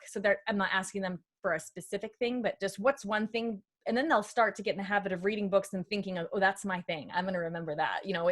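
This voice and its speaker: A woman's voice: mid-range voice, a bit bright. A woman speaking as a guest expert, giving informal conversational advice and acting out little imagined quotes as examples. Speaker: guest expert on reading aloud with children. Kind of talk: conversational advice in a panel discussion.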